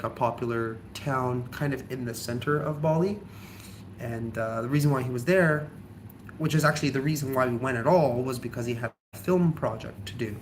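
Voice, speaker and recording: A man's voice: medium pitch, a little hiss or hum. Slightly nasal young man rambling casually. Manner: rambling with many ums and likes. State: sick, slightly nasal from a cold. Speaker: travel vlogger. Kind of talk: casual vlog chat.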